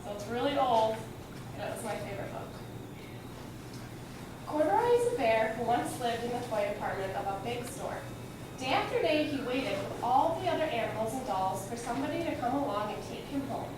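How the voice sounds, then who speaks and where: A woman's voice: fairly high pitch, lively intonation, a little fast. A woman reading a children's book aloud as a storyteller, recorded at a distance in an echoey, noisy room.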